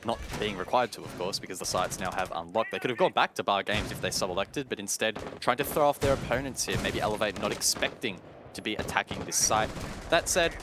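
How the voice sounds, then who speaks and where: A man's voice: lowish voice, background noise. A man giving detailed, explanatory live commentary on an esports match. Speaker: esports caster. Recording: game music and gunfire behind.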